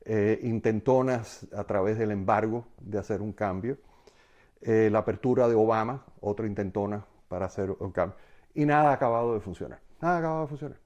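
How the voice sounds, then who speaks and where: Older man from cuba, warm mellow voice, calm explaining. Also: medium pitch. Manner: measured, explanatory. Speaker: interviewee. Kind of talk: interview answer.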